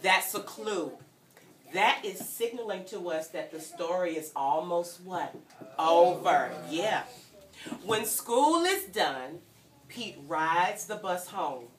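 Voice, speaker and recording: A woman reading a story aloud to young children in an animated, teacherly way, prompting them to join in and breaking into sung refrains. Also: fairly low pitch, slow. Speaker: guest story-time reader for young children. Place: indoor room at a childcare centre. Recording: echoey room, distant mic, children around.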